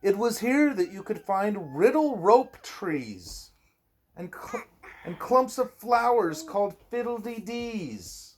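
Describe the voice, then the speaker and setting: A man reading a storybook aloud to a child as a parent, expressively and with drawn-out phrases. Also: high-pitched, very animated, a little mellow, slight background noise.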